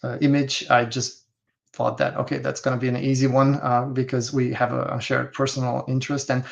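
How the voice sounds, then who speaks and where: A man from Hungary, a guest instructor speaking haltingly with many fillers. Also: mid-range voice, monotone, creaky. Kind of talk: casual webinar talk. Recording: dull lo-fi video call audio.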